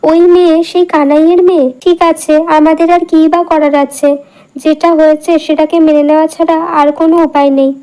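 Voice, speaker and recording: A woman's voice: high-pitched, level tone, a little fast, warm tone, natural voice, poor audio. A woman playing a middle-aged village wife and scheming mother-in-law in a cartoon. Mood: dismayed. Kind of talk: Bengali moral-tale cartoon dubbing.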